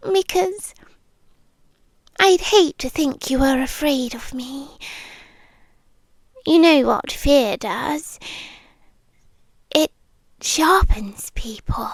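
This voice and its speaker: A British woman playing a sweetly menacing, possessive vampire girlfriend in an ASMR roleplay, speaking in coaxing, breathy whispers with pauses, close to the microphone. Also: high voice, no echo, full character voice. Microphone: close microphone, dry.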